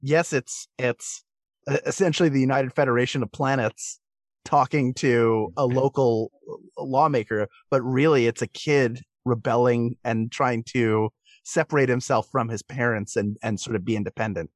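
A man's voice: mid-range voice, steady tone, moderate pace, dry recording. Man in close, dry podcast discussion. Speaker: podcast guest. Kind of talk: casual discussion, analytical.

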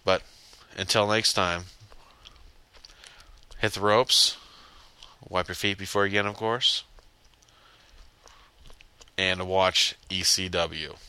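A man's voice: deep voice, fairly even intonation, slow pace, long pauses, bright, forceful tone, clear, resonant tone. A young man hosting a casual solo podcast, blunt and swearing as he rambles, with a stuffy, congested nose. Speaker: podcast host.

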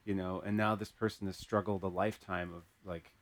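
A man hosting a podcast in casual conversation, haltingly searching for his words. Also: deep voice, little pitch movement. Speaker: podcast host.

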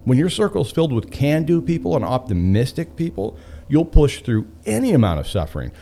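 Male motivational host: mellow tone, highly animated pitch, emphatic storyteller who leans on repetition. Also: slightly rough. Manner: emphatic, repeating phrases for effect. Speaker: podcast host and author.

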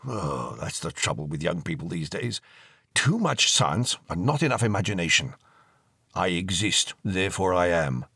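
A low, hushed old ghost with formal, old-fashioned manners, as a male narrator puts him on. The voice is husky and sits close and dry, with plenty of lilt in its courtly phrasing. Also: very animated, moderate pace, dry recording, exaggerated voice. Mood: wry.

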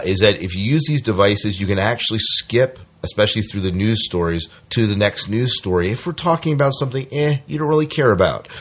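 A man hosting a podcast in a casual, informal way, with some self-deprecating joking. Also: deep voice. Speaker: podcast host.

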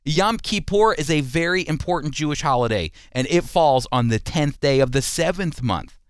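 A man giving a teaching sermon as a preacher, speaking deliberately and repeating phrases for emphasis. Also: fairly high pitch, expressive, clear, resonant tone, no echo, clean studio sound.

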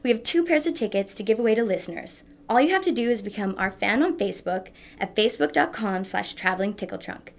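Woman doing a scripted tips segment, normal mid pitch voice. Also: expressive, fast talker, gravelly, hardly any pauses. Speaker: shop owner presenting a tips segment. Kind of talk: scripted narration, numbered tips.

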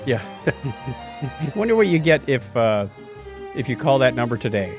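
A man's voice: medium pitch, very animated, a little slow, music in the background. A man hosting a podcast, chatting casually and playfully about tech history. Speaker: podcast host.

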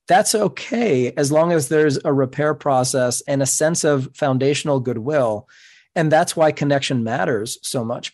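A middle-aged man whose first language is Spanish, speaking as a podcast guest in an earnest, measured, explanatory way. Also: medium pitch, a little mellow. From New York City. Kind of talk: reflective interview talk.

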